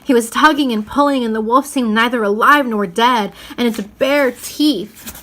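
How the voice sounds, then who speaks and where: A woman's voice: a little high, moderate pace, a bit bright. Woman reading a children's adventure story aloud. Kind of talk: storybook read-aloud narration.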